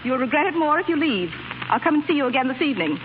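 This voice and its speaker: An actress playing a firm, crisp head nurse in 1930s radio drama style. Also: female voice, high-pitched, sing-song, rapid-fire. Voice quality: crisp, no-nonsense. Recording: tinny, faintly hissy 1930s radio broadcast.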